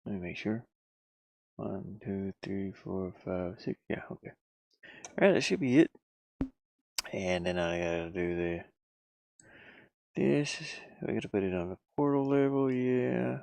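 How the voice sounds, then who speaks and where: A man's voice: low voice, lively intonation, measured pace, gravelly, pauses often, a little mellow, dry recording. A man thinking aloud in a casual way as he works on his game, muttering to himself in short fragments. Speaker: solo indie game developer. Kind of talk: casual think-aloud screen-recording commentary.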